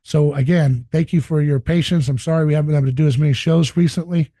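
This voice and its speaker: Host, male, hoarse and husky, earnest solo monologue, dry close sound. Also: on the high side, fairly even intonation, fast, dark tone, no room sound. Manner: earnest, repeats words and restarts often. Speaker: podcast host. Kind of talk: casual monologue to viewers.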